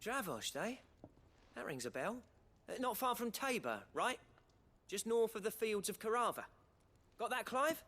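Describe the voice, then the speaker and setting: An actor playing a friendly, plain-spoken rebel comrade, chummy and coaxing, in a video game. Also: male voice, sits high, big pitch swings, measured pace, long pauses, sharp tone, heavily acted. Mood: cheerful.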